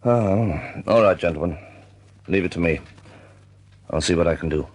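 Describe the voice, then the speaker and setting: A man playing a measured, shrewd senior air force commander in a wartime radio drama. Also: sits low. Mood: measured, grave.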